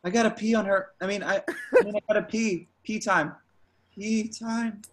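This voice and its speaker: A man's voice: high-pitched, fairly even intonation, normal speed, lightly acted. A man playing an awkward, nervous young slacker musician, hesitant and repeating himself, in an amateur script read. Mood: flustered. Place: home, group video call.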